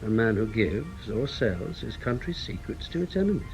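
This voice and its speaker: An actor playing a matter-of-fact intelligence officer in a radio drama, plain-spoken and reflective. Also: male voice, fairly low pitch, big pitch swings, normal speed, a little mellow, soft background music, poor audio. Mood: probing. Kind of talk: radio drama acting, first-person narration.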